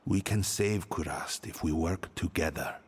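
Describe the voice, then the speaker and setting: A man playing a grave, stoic, guilt-ridden warrior in a fantasy video game, speaking in a measured, halting way. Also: deep voice, breathy edge, full character voice. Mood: solemn, resolute. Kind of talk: video game dramatic dialogue.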